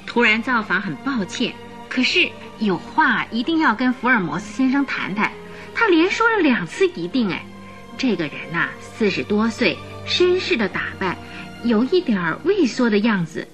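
An actress playing a fussy, chatty landlady in a radio drama, with an expressive way of talking. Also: fairly high pitch, normal speed, faint music behind.

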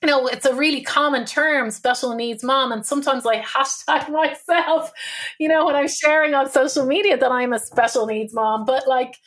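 Chatty Irish woman, slightly high voice. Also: fairly even intonation, moderate pace, fairly bright tone. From Ireland. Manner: chatty, laughs often, colloquial. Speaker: guest, business owner and mother. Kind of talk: podcast interview, candid storytelling.

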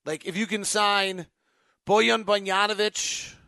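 High-voiced man talking sports off the cuff. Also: steady tone, a little slow, gravelly, sharp tone, no room sound, clean studio sound. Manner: thinking aloud, emphatic, choppy fragmented phrasing. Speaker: sports podcast host. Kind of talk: unscripted basketball talk, answering fan questions.

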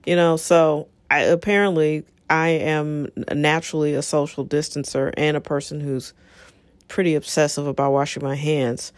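Low-key scratchy-voiced woman host, dry close sound. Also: little pitch movement, moderate pace, no echo. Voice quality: a little scratchy. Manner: conversational, encouraging, rambling asides. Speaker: show host. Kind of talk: casual solo talk to listeners.

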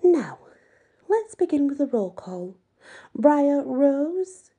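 A woman's voice: sits high, big pitch swings, takes it slowly, mellow, voice pushed up, full character voice. A woman voicing a prim middle-aged female group facilitator in an audiobook, gushing with over-sweet, formal false cheer. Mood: brisk, cheery.

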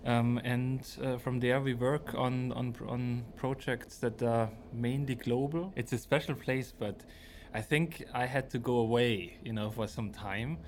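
A man's voice: medium pitch, fairly even intonation, a little slow, a little mellow, a little hiss or hum. A man with an Austrian accent and German as his first language, talking reflectively as an architect being interviewed.

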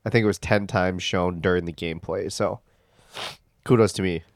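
A man's voice: sits low, fairly even intonation, normal speed, vocal fry, no room sound, studio quality. A man co-hosting a podcast in casual chat, sounding stuffed up, laid-back and wry. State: stuffed up, congested. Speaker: podcast co-host.

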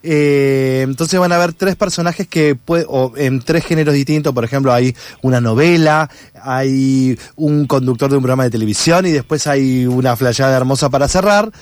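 An Argentine man giving conversational interview answers, reflective and halting as he rephrases his thoughts. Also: medium pitch, no room sound. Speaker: interviewed actor and content creator.

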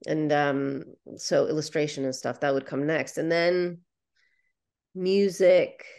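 A woman's voice: low voice, fairly even intonation, takes it slowly, a little mellow. A young adult woman whose first language is Ukrainian, speaking earnestly as an interview guest and often restarting her sentences. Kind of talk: conversational interview.

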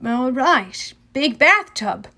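A woman voicing a smooth, condescending male underworld ferryman with a British-leaning accent, as in an audiobook. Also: high voice, sing-song, slow pace, bright, forceful tone, exaggerated voice. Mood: dry, suave. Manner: suave, drawling, condescending.

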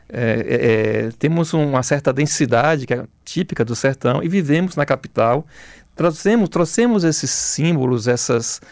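A middle-aged man with a Bahian Brazilian accent, talking reflectively as an interview guest, haltingly, repeating words as he searches for phrasing. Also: mid-range voice, lively intonation, darkish tone, dry recording.

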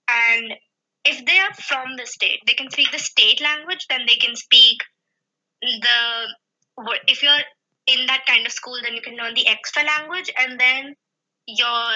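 Young woman from india, real high bright voice, kinda husky, rambly chat. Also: lo-fi sound. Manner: chatty, rambling with many restarts and fillers. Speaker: guest. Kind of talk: casual chat.

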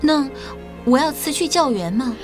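A woman's voice: high voice, animated, moderate pace, over music. An actress playing an earnest young female schoolteacher in an audio drama, polite, careful and formal in the way she speaks. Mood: cautious questioning. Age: young adult.

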